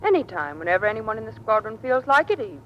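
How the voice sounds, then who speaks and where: An actress playing a poised, teasing American officer's wife in an old-time radio drama. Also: female voice, fairly high pitch, very animated, fast, muffled recording. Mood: coaxing. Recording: noisy vintage radio recording.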